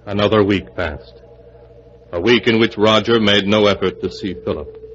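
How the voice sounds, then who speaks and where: A man's voice: fairly low pitch, fairly even intonation, moderate pace, natural voice. A man giving scripted narration in the style of a 1940s radio mystery. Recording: muffled, humming, noisy old radio recording.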